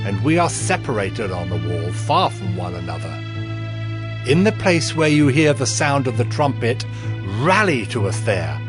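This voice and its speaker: An actor playing a resolute, commanding ancient governor, solemnly narrating in a dramatized Bible recording. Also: male voice, mid-range voice, natural voice.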